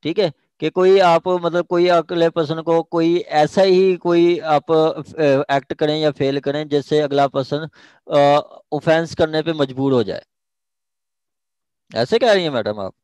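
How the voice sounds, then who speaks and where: Pakistani guy teaching an online class, slightly high voice, dry sound, keeps checking everyone follows. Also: measured pace, darkish tone, smooth, no echo. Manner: checks understanding with repeated short questions. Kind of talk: interactive online lecture.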